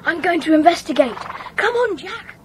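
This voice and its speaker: A woman's voice: very high-pitched, lively intonation, normal speed, slight background noise. A woman playing a decisive, slightly bossy schoolboy gang leader in a children's audiobook drama. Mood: urgent, hushed. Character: Peter, decisive schoolboy leader of secret club.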